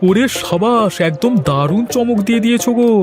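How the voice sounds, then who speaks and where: A man playing a friendly, easily amazed village butcher in an animated moral story, chatty and exclaiming. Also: very high-pitched, a little fast, loud music behind the voice. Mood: cheerful, admiring.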